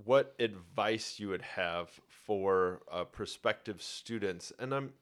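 A man's voice: lowish voice, slow pace, a bit bright. A man hosting a podcast who asks his guest long questions, searching for words with frequent ums and repeats. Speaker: podcast host. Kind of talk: interview questions.

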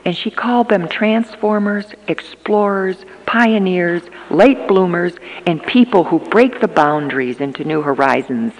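A woman in her fifties giving an earnest talk at a church gathering, emphatic and often pausing with hesitations. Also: fairly low pitch. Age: 50s. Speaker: church conference speaker. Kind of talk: prepared talk on aging and ministry. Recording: long reverb of a large hall.

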